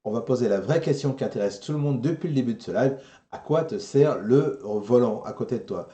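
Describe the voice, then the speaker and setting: A man's voice: mid-range voice, lively intonation, fairly quick, warm tone. A man hosting a casual live chat show. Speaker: show host. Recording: echoey room sound.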